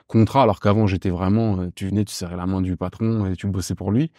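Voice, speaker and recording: A man in his thirties from Paris, a podcast guest talking casually and informally, often pausing with fillers as he searches for words. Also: low-pitched, fairly even intonation, warm tone, dry recording, studio quality. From Paris, France. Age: 30s. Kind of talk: casual conversational interview.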